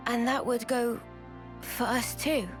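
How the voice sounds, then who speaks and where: A woman's voice: fairly high pitch, fairly even intonation, slow. An actress playing an earnest, inquisitive young female soldier in a video game, with a questioning manner. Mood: troubled. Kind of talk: video game cutscene acting. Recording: music behind the voice.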